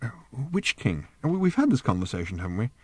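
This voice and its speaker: A man's voice: on the low side, huge pitch swings, fast, no echo, clean studio sound. A man voicing a bewildered, mild-mannered, fretful everyman in an audiobook, hesitant and easily flustered. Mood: hesitant, puzzled. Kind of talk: acted audiobook dialogue.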